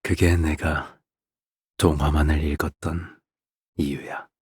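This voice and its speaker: A man playing a calm, cryptic, quietly menacing fatherly game character, speaking softly in measured, unhurried phrases. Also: very deep voice. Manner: soft-spoken, unhurried, measured, pausing between phrases. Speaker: video game character voice actor. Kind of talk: game character voice lines, slow theatrical monologue. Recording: long reverb on the voice.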